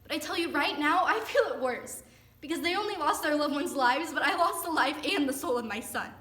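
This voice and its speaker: A woman's voice: sits high, animated, brisk, a bit bright. A woman acting a grieving, solemn mother in an anguished readers theater monologue. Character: grieving mother of a school shooter. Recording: echoey room, distant mic, faint noise.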